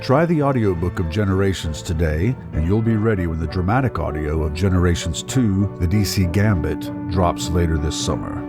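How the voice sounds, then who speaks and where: A man with a fairly low voice delivers a scripted promo as an announcer. Music plays clearly behind him. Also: moderate pace, few pauses. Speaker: advertisement announcer.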